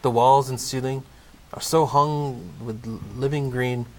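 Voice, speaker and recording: A man's voice: medium pitch, steady tone, unhurried, darkish tone. A man narrating a comedic Christmas audio play from a script, in storybook style. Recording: clearly noisy amateur recording.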